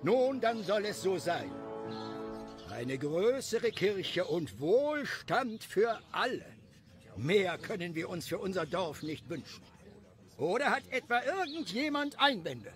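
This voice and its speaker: Bossy priest for an audio story, played by a man: high male voice, very animated, imperious and scolding. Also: slow, soft background music, full character voice. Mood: pompous. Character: stern, bossy village priest.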